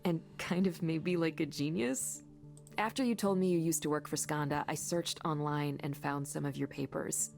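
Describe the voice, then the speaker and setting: An actress playing an earnest, friendly young coworker in a visual novel game, talking in a casual, emphatic, encouraging way. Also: female voice, low-pitched, steady tone, normal speed, gravelly, a little mellow. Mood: encouraging, admiring. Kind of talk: video game voice acting. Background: faint music.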